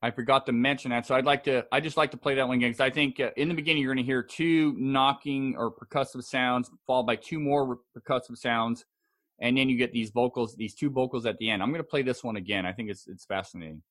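A man's voice: mid-range voice, steady tone, fast talker, fairly bright tone. A man with a mid-range voice hosts a casual podcast discussion. He introduces audio clips conversationally, a little hesitant, and often doubles back to repeat his phrases. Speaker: podcast host.

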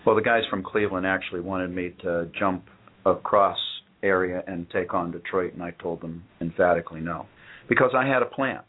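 A man who runs a company, answering interview questions in a measured, matter-of-fact way with frequent pauses on "uh". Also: low voice. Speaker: company owner and guest. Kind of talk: business interview. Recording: tinny phone-like audio.

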